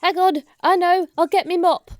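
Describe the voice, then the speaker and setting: Woman playing a high-voiced, hapless, helpful cleaner. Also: steady tone, moderate pace, no room sound, clean studio sound. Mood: keen. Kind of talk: improvised comic roleplay.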